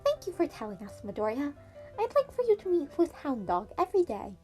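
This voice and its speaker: High, eager, scheming animal headmaster played by a woman for a story reading, formal and gleeful, piano music underneath. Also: wildly swooping pitch, fairly quick, a little mellow. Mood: gentle, brisk. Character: gentle, gleeful, scheming animal school principal.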